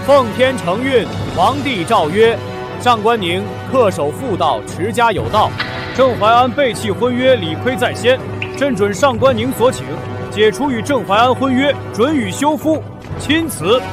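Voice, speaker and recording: A palace eunuch envoy in a Chinese costume drama. His voice is very high and ceremonial, measured in proclamation, with music playing behind him. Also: lively intonation. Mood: solemn, official.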